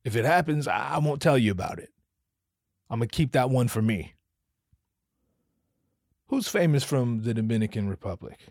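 A man's voice: medium pitch, expressive, moderate pace, long pauses, a little mellow, no room sound, clean studio sound. A man from the US Northwest hosting a solo comedy podcast, rambling and cursing with lots of restarts. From US West Coast, Pacific Northwest. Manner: profane, rambling, self-interrupting. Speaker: podcast host.

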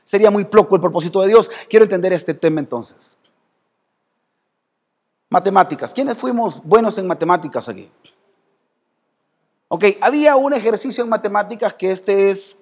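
A man with a Salvadoran Spanish accent preaching a sermon as pastor in a church, talking conversationally and calling on members of the congregation by name. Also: high-pitched. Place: church hall.

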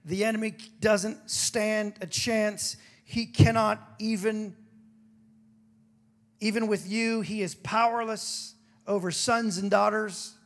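A man preaching a sermon with a throaty, hoarse voice, moving between emphatic declarations and casual, joking asides. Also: high-pitched, fairly even intonation, takes it slowly. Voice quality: gravelly, throaty. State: hoarse after singing. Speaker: pastor.

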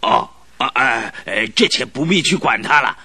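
A flustered, bright-voiced old duke. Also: male voice, mid-range voice, expressive, normal speed, husky, dry recording, full character voice. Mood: grateful, curious. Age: 70s. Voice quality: flustered, full of exclamations and stammering. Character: excitable crafty old male duke, 78. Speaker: duke in a radio play. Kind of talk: 1970s Chinese radio drama acting.

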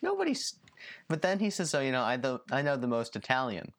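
A young man hosting a podcast, chatting casually, laughing often and jumping in excitedly. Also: mid-range voice, fairly quick. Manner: excitable, laughs often, jumps in over his guest. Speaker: podcast host. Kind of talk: casual chat.

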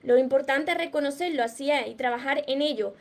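A woman with a Spain Spanish accent and a notably high voice leads a live self-help stream. She explains things in a teacherly, earnest way, often repeating herself for emphasis and turning to her viewers' comments. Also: fairly quick, a little hiss or hum. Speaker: personal growth coach.

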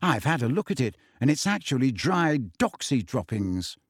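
A man voicing a clever, brisk and bossy teenage schoolgirl. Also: medium pitch, sing-song, husky, dry recording, studio quality.

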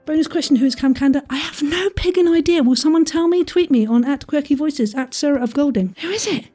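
A woman's voice: sits high, quick pace, warm tone, soft background music. A woman hosting an audio quiz in a chatty, playful style, with a theatrical, jokey delivery full of asides and exclamations. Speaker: quiz host.

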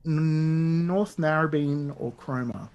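A man's voice: a little high, takes it slowly, a little mellow, highs cut off. A man in his forties with a mixed Australian, Dutch and British accent, chatting enthusiastically as a podcast guest. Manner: chatty and enthusiastic, lots of restarts. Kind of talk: casual podcast chat.

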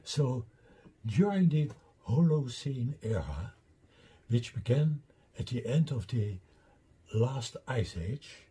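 An older man with a Dutch accent lectures over slides at an unhurried pace, and his voice is very husky. His pitch swings widely as he leads through his points with asides. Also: animated, dark tone. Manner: deliberate, explains step by step with asides. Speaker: lecturer presenting his own theory.

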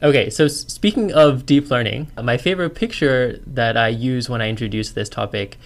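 A man's voice: medium pitch, moderate pace, soft background music. A man in his thirties with an Australian accent, a lecturer guest on a podcast, talking casually and joking about his own work. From China. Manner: chatty, self-deprecating, laughs easily.

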